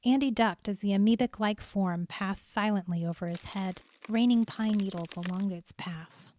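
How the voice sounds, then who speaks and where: A woman's voice: medium pitch, normal speed, darkish tone, no echo. A woman reading scripted fiction as a storyteller, in audiobook narration.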